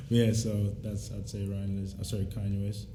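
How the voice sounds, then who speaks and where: A man's voice: fairly low pitch, level tone, measured pace, background noise. A young man from South Sudan, chatting casually and reflectively in laid-back slang as a musician being interviewed. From South Sudan, raised in Australia. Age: young adult. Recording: roomy echo, faint music behind.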